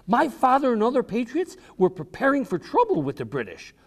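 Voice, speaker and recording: A man voicing a patient old grandmother who recounts her wartime ride in measured, instructive storybook narration. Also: extremely high pitch, huge pitch swings, pitched above the performer's own voice, heavily acted. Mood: earnest.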